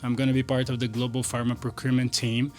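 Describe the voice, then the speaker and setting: A man's voice: medium pitch, monotone, fast, soft background music. A man, an MBA student answering interview questions in a careful, earnest way. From Brazil.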